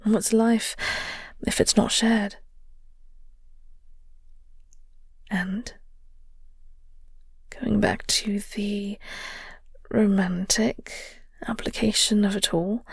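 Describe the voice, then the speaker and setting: A woman playing a flirty, teasing vampire nemesis who secretly cares, in an intimate audio roleplay, speaking softly and half-whispered with wry, elegant phrasing. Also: mid-range voice, dry recording. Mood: tender, consoling. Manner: breathy, soft, slow, half-whispered delivery.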